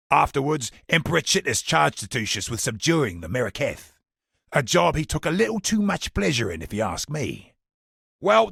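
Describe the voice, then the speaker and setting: Husky trader from a fantasy game, roguish n wry, voice goes up and down a lot. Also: male voice, medium pitch, a bit bright, no echo, studio quality, exaggerated voice. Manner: colloquial, wry storytelling with British slang. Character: roguish, chatty male merchant, wry and worldly. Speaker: merchant character in a fantasy video game. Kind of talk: scripted video game character dialogue.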